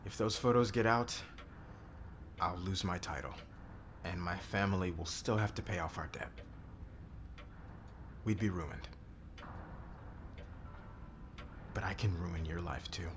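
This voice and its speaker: A man playing a domineering, bitter boss in an ASMR roleplay, speaking deliberately with intimate menace. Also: deep voice, fairly bright tone. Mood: grim, menacing. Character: domineering, bitter married male boss being blackmailed and seduced. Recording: clear background noise.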